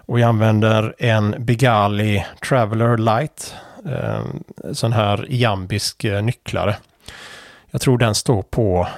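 A man, explaining amateur radio Morse code procedure in an unhurried way, with many fillers. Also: fairly low pitch, darkish tone, dry recording, studio quality. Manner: unhurried, many fillers, spells out letters and call signs.

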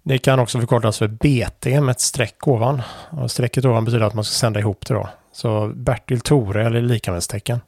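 A man calmly explaining Morse code conventions in a casual tutorial, pausing often with fillers and restarts. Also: mid-range voice, creaky, dry recording, clean studio sound. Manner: relaxed, hesitant with frequent fillers and restarts. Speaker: amateur radio instructor.

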